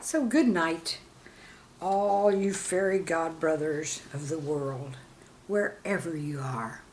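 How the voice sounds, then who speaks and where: A woman reading scripted stories and verse as a channel host, with a measured, deliberate delivery. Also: low-pitched, darkish tone, slight background noise. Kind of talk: scripted storytelling and poem reading.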